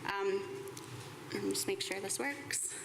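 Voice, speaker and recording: A woman's voice: mid-range voice, unhurried. A woman giving a prepared presentation as a team representative, with an enthusiastic, conversational manner and informal asides. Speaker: baseball team representative presenting at a press conference. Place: indoor press conference room before an audience. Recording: live room with clear background noise.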